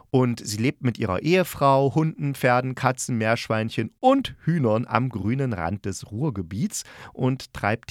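A man's voice: fairly low pitch, no echo, clean studio sound. A man hosting a casual spoken review, chatting informally and thinking aloud as he goes. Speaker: podcast host reviewing audio dramas.

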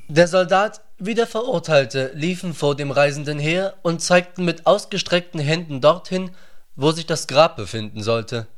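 A man narrating a literary radio play from a script in a measured, grave manner. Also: a little high.

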